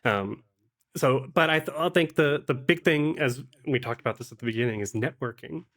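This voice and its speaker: A man talking conversationally as a podcast guest and game master, explaining things in a halting, thoughtful way. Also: medium pitch, a bit bright. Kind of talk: conversational interview talk.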